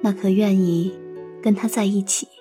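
A woman's voice: medium pitch, fairly even intonation, normal speed, over music, natural voice. A woman playing a grave, kindly elder counselling someone younger in a costume audio drama. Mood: gentle, earnest.

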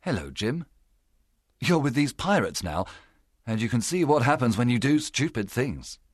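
A man voicing a stern, principled gentleman doctor in an audiobook. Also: mid-range voice, sing-song, measured pace, no room sound, studio quality, voice pushed up. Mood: stern, sad. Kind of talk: audiobook character acting.

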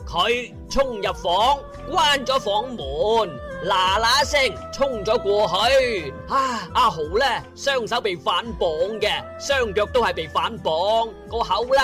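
A man's voice: high voice, slow, few pauses. A man with a Cantonese accent narrating a comic radio drama in a playful, colloquial way. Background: music.

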